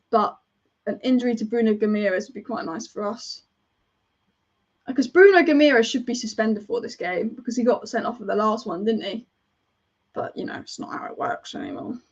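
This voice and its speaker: A woman with a fairly high voice rambles through a live match as a devoted fan, chatting, restarting her thoughts and reacting to each move. The audio is dull and lo-fi, like a home stream. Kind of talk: live match watch-along commentary.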